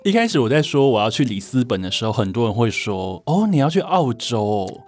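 A man's voice: medium pitch, very animated, brisk, darkish tone. A man with a Taiwanese accent, a guest writer explaining things casually in an interview.

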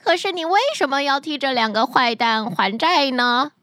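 A woman's voice: sits high, expressive, moderate pace, a bit bright, dry recording, studio quality. A woman voicing a curious villager in a bedtime story, asking in disbelief. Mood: incredulous. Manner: questioning, incredulous. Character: villager in a crowd, curious bystander.

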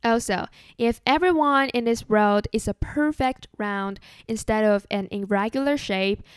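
A young woman with a Chinese accent hosting a solo podcast in an earnest, measured, relaxed way. English is not her first language. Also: fairly high pitch, smooth, no echo. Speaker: podcast host.

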